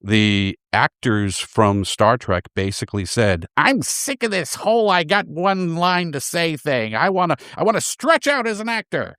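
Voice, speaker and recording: A man's voice: fairly high pitch, lively intonation, unhurried, hardly any pauses, a bit bright, dry recording. An American man from Boston talking casually and anecdotally as a guest in a radio studio interview. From Boston, USA. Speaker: radio theater founder, guest.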